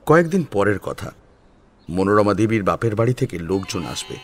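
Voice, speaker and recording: A man narrating a story as a dramatic audio storyteller, in an expressive, suspenseful manner. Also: fairly low pitch, moderate pace, soft background music.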